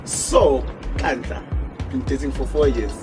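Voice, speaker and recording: A man's voice: medium pitch, steady tone, measured pace. A man hosting an interview, asking short, prompting questions. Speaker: interviewer, host. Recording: music bed under voice. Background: music.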